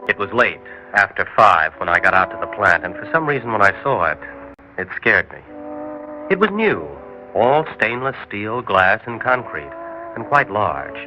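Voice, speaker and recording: An actor playing a curious male writer who narrates an old radio drama in a calm, thoughtful way. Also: lowish voice, normal speed, music in the background. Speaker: narrator and lead character, a writer. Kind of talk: 1960s radio drama acting. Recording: dull old radio recording.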